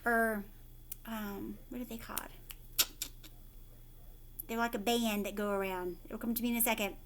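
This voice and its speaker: A woman reading a storybook aloud as a teacher, animated, with chatty asides straight to young listeners. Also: on the high side, normal speed, slight background noise.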